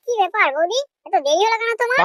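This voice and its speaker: A man voicing a lustful young village lover in a comic cartoon, crude and full of flattery. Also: very high-pitched, racing, background noise. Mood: pleading, flattering. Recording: loud children's music behind. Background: children's music.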